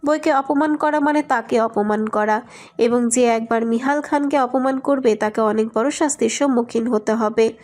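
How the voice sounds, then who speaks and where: A woman's voice: fairly high pitch, steady tone, moderate pace, darkish tone. A woman narrating a scripted romantic story.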